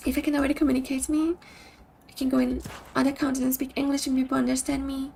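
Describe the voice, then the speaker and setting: Brief: female streamer, Brazilian Portuguese accent, pitched high, heavy vocal fry, casual and searching. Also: flat delivery, fast, darkish tone, a little hiss or hum. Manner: thoughtful, restarts sentences while searching for words. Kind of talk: casual chat with stream viewers.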